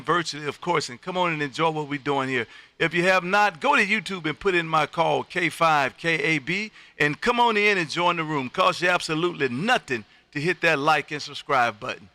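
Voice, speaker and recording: An American man in middle age with a very bright, punchy voice that sits fairly high and carries some gravel. He works the airwaves as an exuberant ham radio host, repeating his phrases for emphasis. The sound is close and dry. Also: dry recording. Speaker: amateur radio operator and livestream host. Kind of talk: lively on-air ham radio chat with showman patter. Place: home radio room.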